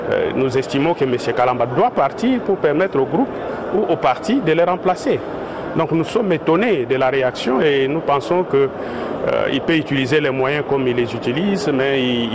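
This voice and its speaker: A man speaking as a party official in a street interview, in a measured way with frequent hesitations. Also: medium pitch. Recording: loud traffic noise outdoors.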